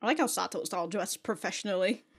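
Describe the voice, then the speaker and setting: A woman's voice: medium pitch, quick pace, breathy edge. A woman giving casual, slangy reaction commentary and swearing freely as the host. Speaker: host of an anime reaction show.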